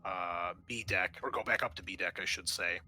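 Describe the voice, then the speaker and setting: Bright-voiced male game master. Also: low voice, normal speed, a little hiss or hum. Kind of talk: narrating a tabletop game session.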